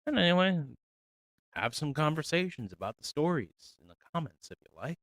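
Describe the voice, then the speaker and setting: A man cold reading folk tales aloud on a stream, unhurried and measured, his voice feeling a little rough after an hour. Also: on the high side, sing-song, fairly bright tone, dry recording. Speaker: reader.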